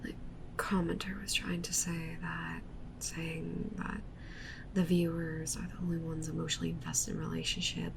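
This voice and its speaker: A woman's voice: mid-range voice, creaky, background noise, natural voice. A woman playing an obsessive, clingy yandere witch streamer in an intimate roleplay ASMR monologue, half-whispering with intensity. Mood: earnest, clingy.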